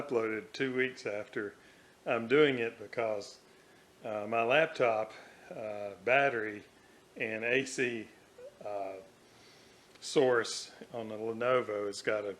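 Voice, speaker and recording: A man narrating an unscripted workbench vlog as a hobbyist restorer, speaking haltingly in short, hesitant phrases. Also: mid-range voice, fairly bright tone. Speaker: hobbyist radio restorer presenting own video. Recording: clearly audible background noise.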